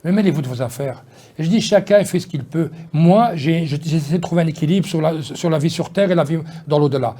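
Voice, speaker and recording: Fast-talking man in his fifties. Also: fairly high pitch, warm tone. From Belgium. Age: 50s. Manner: rambling, many fillers and restarts. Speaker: politician guest. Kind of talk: casual interview talk.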